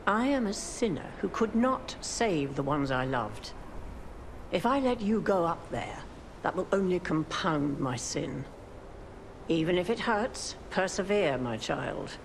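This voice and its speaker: A grim older gatekeeper woman in a dark video game. Her voice is lowish and full of creak, the delivery slow, solemn and heavy with guilt. Noisy ambience sits behind her. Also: full character voice. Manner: solemn, ominous, measured. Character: grim, sorrowful guilt-ridden older female cathedral gatekeeper. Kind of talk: dramatic video game voice acting. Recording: clearly noisy ambient background.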